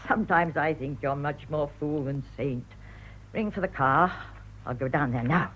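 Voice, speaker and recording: An actress playing a sharp-tongued, stubborn older village woman with an English accent, imperious and sardonic, in a radio drama. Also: sits low, normal speed, slight background noise. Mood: bitter. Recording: dull, band-limited radio drama recording.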